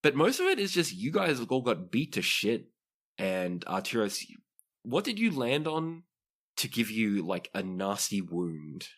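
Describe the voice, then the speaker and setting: A man's voice: on the low side, sing-song, measured pace, fairly bright tone. A man narrating a tabletop roleplaying game as game master, describing scenes and explaining rules to players.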